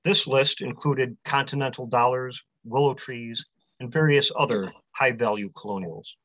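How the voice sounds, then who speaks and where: A man in his forties, a publisher, giving a prepared convention talk from a small room over a video call, in a measured delivery that runs through long lists. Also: mid-range voice, fairly even intonation, a bit bright, no echo. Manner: measured, list-heavy delivery. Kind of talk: prepared talk read aloud. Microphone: webcam on a video call. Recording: dry, slightly muffled webcam audio.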